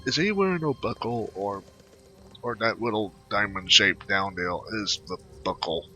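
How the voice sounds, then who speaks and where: Low-voiced man rambling over a game. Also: moderate pace, pushed and bright, soft background music. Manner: rambling, repeats himself. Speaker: video game player commentating. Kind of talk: casual rambling game commentary.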